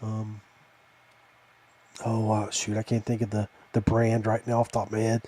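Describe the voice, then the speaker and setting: A middle-aged American man hosting a casual podcast chat in a halting, folksy, familiar way. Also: lowish voice, completely flat delivery, mellow, no echo. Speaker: podcast host.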